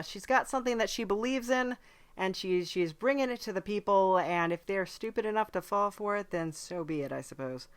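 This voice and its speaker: A woman voicing a chatty demon from hell in an improvised comedy podcast, hesitant and politely earnest as she rambles. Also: mid-range voice. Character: chatty demon from hell who visits the human world. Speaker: podcast host. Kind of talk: improvised in-character comedy interview.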